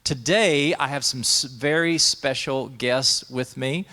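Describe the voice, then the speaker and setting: A man's voice: mid-range voice, takes it slowly, pushed and bright. A man, a pastor, hosting an interview and talking in a friendly way, laughing easily.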